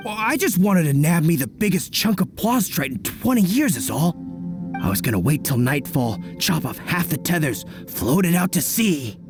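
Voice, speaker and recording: A man's voice: a little high, sing-song, normal speed, over music. A man voicing a nervous, dim young apprentice thief in a video game, folksy, stammering and sheepish. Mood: eager, boastful.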